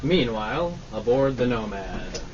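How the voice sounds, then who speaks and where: A man's voice: mid-range voice, very animated, unhurried, loud music behind the voice. A man reading scripted narration as the announcer of an old-time radio drama. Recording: muffled, distant live recording, background noise.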